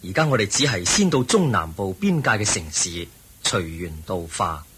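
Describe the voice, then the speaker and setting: A low-voiced, serene male spiritual teacher in a Cantonese radio drama. He instructs in a measured Hong Kong Cantonese, with lively rises and falls. A faint noise sits behind the voice. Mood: serene, instructive.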